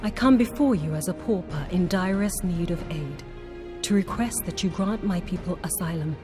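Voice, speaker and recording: A woman voicing a dignified, humble exiled noblewoman pleading for her people in measured, formal speech. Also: on the low side, music in the background, natural voice. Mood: solemn.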